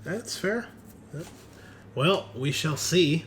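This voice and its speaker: A man's voice: a little high, expressive, slow, a bit bright, a little hiss or hum. A man hosting a tabletop game podcast, bantering casually with players in a laid-back, sweary way. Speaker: host and game master of a tabletop RPG podcast.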